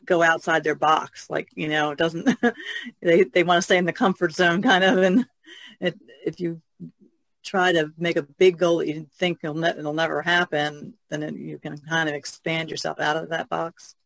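A woman's voice: sits low, steady tone, normal speed, creaky, fairly bright tone. A woman co-hosting a podcast, speaking in a casual conversational way. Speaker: podcast co-host and painter.

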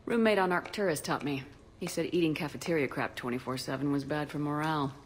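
A woman's voice: deep voice, quick pace, a little hiss or hum, close to an everyday voice. A woman playing a confident, dry-humored female military commander in a video game. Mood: flirtatious, wry. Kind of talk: video game cutscene acting. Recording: game music behind the voice.

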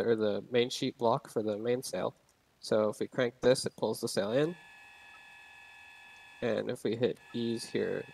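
A man's voice: mid-range voice, creaky, a little mellow, no room sound, poor audio. A young man casually explaining a game's sailing controls, rambling with many fillers and restarts. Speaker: game tutorial narrator. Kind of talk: casual gameplay tutorial.

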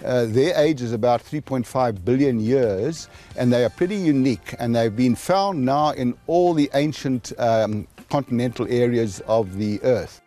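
A man's voice: mid-range voice, measured pace, dark tone, faint music behind. An older man, a geologist, explaining the landscape outdoors in a patient way with many fillers, speaking into a handheld mic. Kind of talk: explanatory field talk. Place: outdoors on a hillside.

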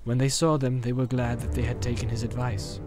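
A man's voice: mid-range voice, little pitch movement, a little fast, music in the background. A man narrating an audiobook in a dramatic storytelling style.

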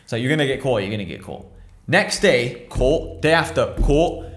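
A man's voice: medium pitch, lively intonation, moderate pace, fairly bright tone. A young man in his twenties with an English accent, talking bluntly on a podcast and swearing freely at a table mic indoors. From England. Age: 20s. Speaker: podcast guest, business owner. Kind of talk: casual podcast conversation. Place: room seen, small room at a table indoors. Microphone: boom mic on an arm.

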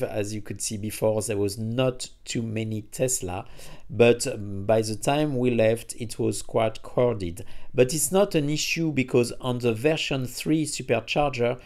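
A man narrating a travel vlog about a charging stop and a city visit, speaking haltingly with many fillers and phrasing. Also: medium pitch, steady tone, a little mellow. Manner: halting, frequent uh fillers, non-native phrasing. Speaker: travel vlogger reviewing EV chargers.

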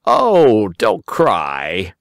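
A kindly old rabbit gentleman from a children's storybook, as a man puts him on, gentle and eager to help. His voice rises and falls with a lively sing-song. The sound is dry and close. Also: lowish voice, extremely slow, gravelly, no room sound, exaggerated voice.

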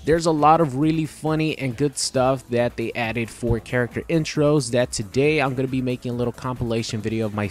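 A man giving an upbeat voice-over introduction as the host of a gaming video. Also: mid-range voice.

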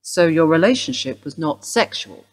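A brisk, probing policewoman in a radio play. She speaks formally and for the record, with a pitch that moves a lot across her lines. She is wholly devoted to her job. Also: expressive. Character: woman detective, brisk and married to the job. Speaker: police detective.

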